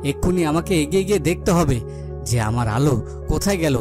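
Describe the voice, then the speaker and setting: A devoted fairy-tale king from a cartoon, acted by a man. His voice sits a little high, fretting and repeating himself with melodrama. Music plays clearly behind him. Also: lively intonation, quick pace, lightly acted. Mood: worried. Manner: fretful, repetitive, dramatic.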